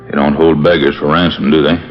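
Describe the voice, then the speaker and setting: A man's voice: a bass voice, level tone, fast. An actor playing a weary, brooding elderly father-in-law in a 1950s radio drama, rambling in a folksy, repetitive way. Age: older. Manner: rambling, folksy, repeats himself. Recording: muffled vintage radio, music behind.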